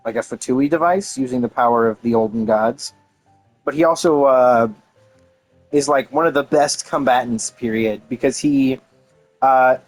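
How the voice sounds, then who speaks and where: A man's voice: medium pitch, poor audio. A man giving casual, informal commentary on a video game as he plays, rambling and searching for words. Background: game music.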